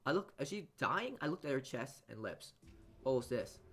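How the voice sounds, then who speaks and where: A man reading a visual novel aloud as dramatic narration, halting often as he stumbles over and repeats words. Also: medium pitch, very animated, a bit bright, slightly rough. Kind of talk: dramatic read-aloud narration for a let's play.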